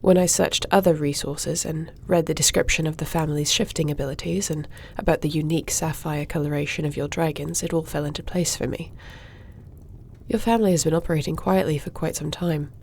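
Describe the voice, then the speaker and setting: A woman acting as a self-assured warrior princess and commander in a medieval fantasy audio drama. Her voice is low and creaky, with formal poise, over faint ambient noise. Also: steady tone, brisk, a little mellow. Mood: confident, cordial.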